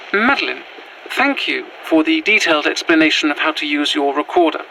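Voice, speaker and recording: A woman's voice: sits low, creaky, pushed and bright, a little hiss or hum, heavily acted, poor audio. A woman playing a prim Victorian widow revived in another's body, speaking formal old-fashioned in an audio drama. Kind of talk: found-footage audio drama monologue.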